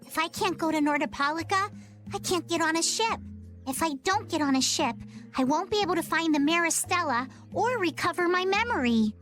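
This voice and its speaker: A woman's voice: very high voice, lively intonation, a little fast, fairly bright tone, soft background music. A woman playing a quirky, self-assured little girl seafarer in a video game, earnest and quaint in manner. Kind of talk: video game cutscene voice acting.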